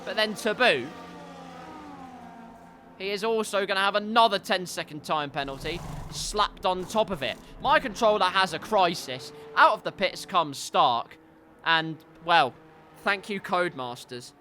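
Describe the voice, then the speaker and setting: Stuffy-nosed male race caller, high and forceful tone, dry asides, erupts on the crashes. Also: a little slow, slight background noise. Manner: wry asides, gets loud and excited at crashes. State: congested, stuffy nose. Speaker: league race commentator. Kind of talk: sim racing commentary.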